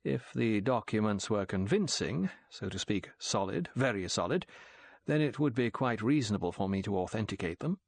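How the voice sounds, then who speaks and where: A man's voice: fairly low pitch, animated, normal speed, gravelly. A man doing a bland, offhand British agent. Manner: diffident, offhand. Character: cool, bland British male secret agent.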